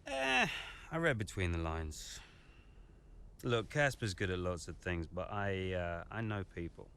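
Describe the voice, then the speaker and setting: Lively, cocky racing man. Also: low voice, measured pace, exaggerated voice. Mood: self-assured. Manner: casual, hesitant fillers, matey. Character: cocky, needling male ex-racing driver, smooth talker. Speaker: actor in a racing game. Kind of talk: dramatic game cutscene dialogue. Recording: clear background noise of cars outdoors.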